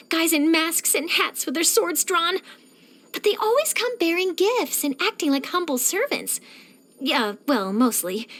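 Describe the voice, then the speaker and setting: A woman voicing an eager, nosy young female journalist in a video game, chatty, theatrical and expressive. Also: sits high, exaggerated voice. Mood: gossipy, animated. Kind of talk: animated game character voice lines.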